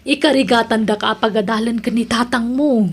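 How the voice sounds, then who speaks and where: A woman's voice: fairly high pitch, steady tone, brisk, breathy edge. A woman with an Ilocano accent playing a patient, pleading mother in an audio drama, earnest and coaxing. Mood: pleading, encouraging. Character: patient, pleading mother urging her child onward.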